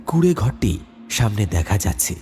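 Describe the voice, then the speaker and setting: A man's voice: on the low side, very animated, normal speed. A man narrating a horror story in a dramatic, suspenseful way. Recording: loud background music with insect sounds. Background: eerie music, crickets and insects.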